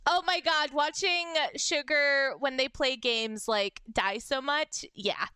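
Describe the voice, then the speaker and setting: A young adult woman with a high-pitched voice, chatting casually on a livestream and reading fan messages, bubbly and giggly. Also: slow, pushed and bright, no echo, clean studio sound. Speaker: VTuber streamer.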